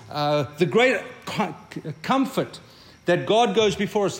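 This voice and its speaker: An older man from South Africa with an Afrikaans accent gives a conversational, reflective sermon as pastor and often repeats words as he starts a thought. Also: high voice.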